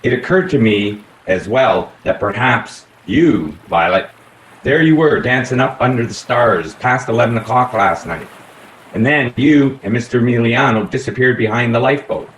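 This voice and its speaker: A man's voice: medium pitch, expressive, a bit bright, natural voice. Man acting a theatrical inspector in a roomy recording. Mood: insinuating. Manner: deliberate, accusatory, dramatic. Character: shrewd, theatrical police inspector unveiling a murder. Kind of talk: radio play acting. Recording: roomy, faint background noise.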